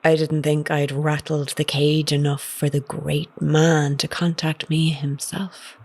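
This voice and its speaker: Lady investigator in an audio drama, lowish voice, wry n probing, bit wounded but stubborn. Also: level tone, measured pace, darkish tone. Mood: guarded, defiant. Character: determined woman investigator, wry, wounded, confronting former partner.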